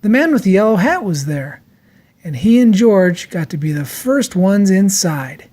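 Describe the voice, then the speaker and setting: A storyteller reading a children's book aloud in an expressive, dramatic way. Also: mid-range voice, unhurried. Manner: expressive, acts out character lines.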